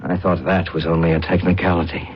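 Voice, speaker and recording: A proud condemned prisoner acted by a man with a very low voice. He speaks with wry, formal insolence and a world-weary edge, as in a radio play. The sound is dull and noisy, like an old broadcast. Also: level tone, fast, natural voice. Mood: wry, wary. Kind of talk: radio drama acting.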